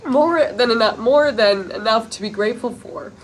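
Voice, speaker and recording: A woman's voice: on the high side, moderate pace, a crowd in the background, close to an everyday voice. An actress playing a weary, wistful woman drifting from her old friends, in a sitcom table read. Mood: sad, resigned. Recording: faintly noisy rehearsal room recording.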